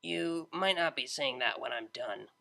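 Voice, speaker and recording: A man's voice: medium pitch, unhurried, creaky, sharp tone. A man voicing a laid-back young female unicorn DJ, talking in a casual, slangy, self-mocking way. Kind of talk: fan audiobook character voice.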